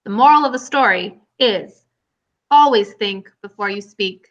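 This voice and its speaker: A woman's voice: mid-range voice, measured pace, fairly bright tone. A woman teacher hosting and narrating a scripted storybook tale for children. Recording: dull lo-fi recording.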